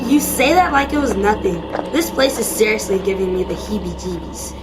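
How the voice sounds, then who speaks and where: A woman playing an excitable, squeamish young kid with an expressive manner in an amateur audio drama. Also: mid-range voice, fairly quick, over music, natural voice, low-quality recording. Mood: uneasy.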